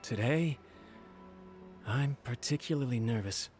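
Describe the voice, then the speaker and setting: A man's voice: medium pitch, measured pace, a little mellow, soft background music, lightly acted. A man playing an anxious, deferential young retainer in a video game, with a flustered, apologetic, formal way of talking. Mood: worried.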